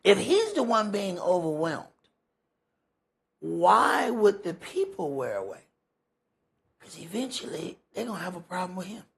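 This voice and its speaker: A man's voice: high-pitched, very animated, measured pace, gravelly, long pauses, fairly bright tone, husky, low-quality recording. A man teaching scripture in an informal sermon, conversational and emphatic, repeating phrases for effect. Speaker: priest teaching a Bible study.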